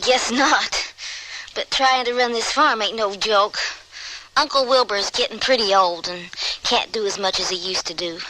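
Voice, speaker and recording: Plucky bright-voiced young farm girl. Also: medium pitch, measured pace. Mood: matter-of-fact. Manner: folksy, blunt. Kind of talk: old-time radio western acting. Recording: slightly noisy radio drama recording.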